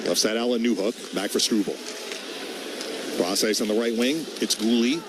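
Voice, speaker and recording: A man's voice: lowish voice, normal speed, background noise. A man doing live radio play-by-play of ice hockey in short, clipped running calls.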